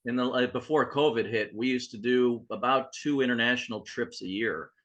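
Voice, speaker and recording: A middle-aged man with a bright, forward voice, answering interview questions as a guest author. He spins long, loose anecdotes full of asides. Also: mid-range voice, normal speed, muffled recording. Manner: chatty storyteller, rambling asides.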